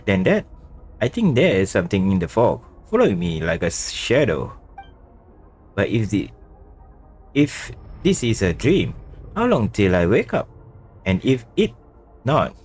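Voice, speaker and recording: A male game streamer reading dialogue aloud during a playthrough in a halting, stumbling manner. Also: lowish voice, darkish tone.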